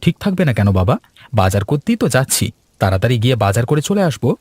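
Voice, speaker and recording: A man's voice: mid-range voice, big pitch swings, quick pace, darkish tone, no room sound. A man voicing an eager young son in a cartoon, pleading with his father.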